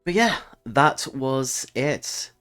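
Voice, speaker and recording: A man's voice: medium pitch, lively intonation, drawn-out words, a bit bright, soft background music. A man hosting a solo tabletop roleplaying channel, speaking as a storyteller to his listeners. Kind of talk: storytelling narration and casual channel talk.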